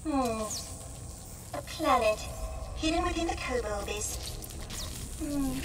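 A woman's voice: high voice, animated, takes it slowly, background noise. A woman playing a prim, wistful droid in a video game, formal and a little forgetful, with hesitant, fussy phrasing. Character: prim, wistful, long-stranded female droid, gently forgetful. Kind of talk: video game character acting. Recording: loud music behind, slightly distant.

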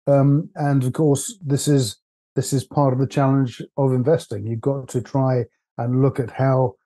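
A man, husky from laryngitis, co-hosting a casual investment podcast in a measured, thoughtful way. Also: mid-range voice, flat delivery, mellow. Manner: measured, thoughtful, with frequent "uh" and restarts. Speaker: podcast co-host, stock analyst.

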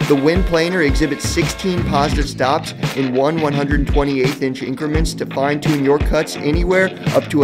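A man delivers an upbeat, salesy infomercial read in a slightly raised voice. Heavy music plays loudly behind him. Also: on the high side. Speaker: commercial announcer. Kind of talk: infomercial voice-over. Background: loud music.

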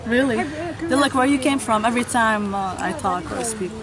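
A woman's voice: fairly high pitch, normal speed, crowd noise. A young woman with a Lebanese accent, chatting in a bubbly, giggly way on a beach, filmed from a few feet away. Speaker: friend. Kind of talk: casual chat. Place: outdoors on a beach. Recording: noisy outdoor sound.

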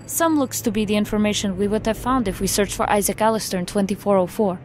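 An actress playing a formal, analytical federal agent in an audio drama, speaking precisely. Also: female voice, mid-range voice, fast, darkish tone, faint music behind, lightly acted. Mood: measured, analytical. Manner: precise, formal, without contractions.

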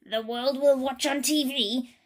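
A woman's voice: sits high, steady tone, measured pace, voice pushed up. A woman voicing a mean, vain queen from a children's story, theatrical and spiteful in rhyme. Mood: gloating, taunting.

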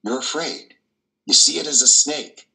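An older man playing a compassionate, gentle priest in a play reading, measured and reassuring, with hesitant pauses. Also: mid-range voice, creaky, exaggerated voice, low-quality recording. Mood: earnest reassurance. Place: indoor wood-trimmed room on a video call.

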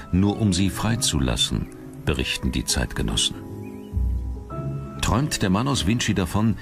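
A man narrating a documentary from a script in a measured, dramatic storytelling manner. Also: sits low, creaky. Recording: music under the narration. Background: background music.

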